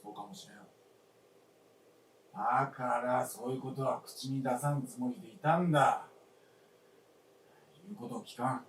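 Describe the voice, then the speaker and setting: Stubborn old widower-style father played by a male actor, gruff and weary, heard at a distance in radio drama. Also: medium pitch, normal speed, long pauses, natural voice. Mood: weary, resigned. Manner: gruff, blunt old-fashioned speech. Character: stubborn, weary elderly father living alone. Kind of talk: Japanese radio drama acting on stage. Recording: roomy distant stage recording, faint noise.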